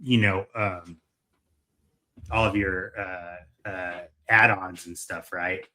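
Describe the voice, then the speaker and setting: A man co-hosting a casual chat, easygoing and hesitant, filling pauses with ums and uhs. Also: low-pitched, steady tone, pushed and bright. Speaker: co-host.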